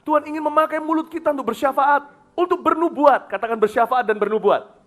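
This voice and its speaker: A middle-aged man from Indonesia preaching a sermon as pastor, emphatic, moving between loud declarations and soft, confiding asides, now and then dropping English phrases. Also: very high-pitched, fast.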